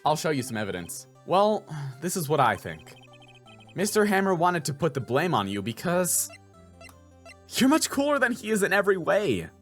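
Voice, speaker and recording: A man voicing an earnest, flustered young defense lawyer in a video game, expressive and sometimes exasperated. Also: fairly high pitch, moderate pace, faint music behind. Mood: explaining.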